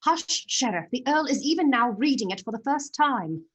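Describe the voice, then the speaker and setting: A woman's voice: high voice, lively intonation, moderate pace. A woman acting a haughty, sly princess in a radio play, arch and imperious in her teasing.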